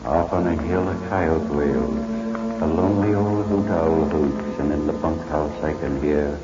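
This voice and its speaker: A man solemnly reciting a cowboy poem in measured verse on an old 1940s radio recording. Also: rumbling low, echoey room, highs cut off. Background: prominent music.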